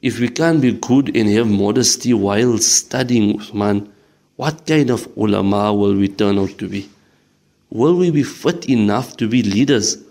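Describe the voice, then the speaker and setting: A man's voice: on the low side, measured pace, darkish tone. A man playing a stern but caring madrasa sheikh who lectures his student firmly, like a father. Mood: admonishing. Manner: firm, admonishing, fatherly. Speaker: teacher. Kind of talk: audio drama acting.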